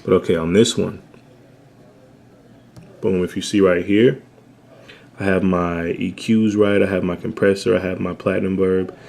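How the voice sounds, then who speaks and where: A young man with a bassy voice, giving a laid-back, slangy home-studio tutorial. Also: flat delivery, normal speed, vocal fry, pauses often, darkish tone. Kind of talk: casual tutorial talk.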